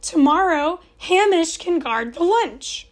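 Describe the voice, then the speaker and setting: A cheerful, inventive older wife, read by a woman in a storybook. She speaks brightly in a high voice at an unhurried pace, full of clever ideas. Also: animated, heavily acted.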